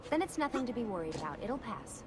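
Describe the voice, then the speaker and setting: A woman's voice: on the high side, big pitch swings, quick pace. Composed young scientist woman, noisy backdrop. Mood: calm, businesslike. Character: composed, guilt-tinged young woman scientist guiding an agent. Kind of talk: naturalistic video game cutscene acting.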